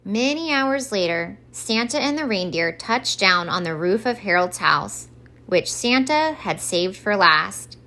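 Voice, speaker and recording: Animated woman reading a children's story. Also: medium pitch, a little slow. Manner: expressive. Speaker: story time reader.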